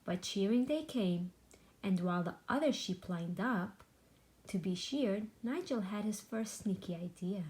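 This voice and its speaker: Woman, children's read-aloud narration, very unhurried, mid-pitched, expressive, dramatic. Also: low-quality recording. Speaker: storybook reader.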